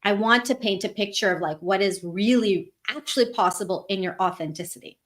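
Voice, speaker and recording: A woman from Northern Virginia joins a live online interview as a guest coach. She speaks earnestly and candidly, her thoughts flowing on at a mid pitch for a woman. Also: brisk, a bit bright.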